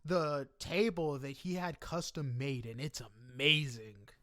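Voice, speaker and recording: A man's voice: on the high side, unhurried, bright, forceful tone. A man hosting a podcast interview in a casual, conversational style.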